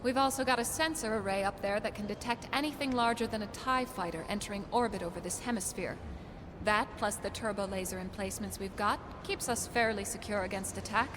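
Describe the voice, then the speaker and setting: An actress playing a tough, decisive rebel base commander, speaking firmly and with authority in an audio drama. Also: female voice, medium pitch, a little fast, background noise, natural voice. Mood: firm, controlled. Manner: commanding, firm. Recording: very loud music behind. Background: dramatic score.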